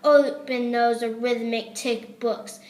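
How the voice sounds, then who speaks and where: A woman's voice: fairly high pitch, fairly even intonation, slow pace, darkish tone. A woman voicing a mean, witch-like female substitute teacher who snaps out bossy, curt orders in a storybook. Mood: stern, threatening.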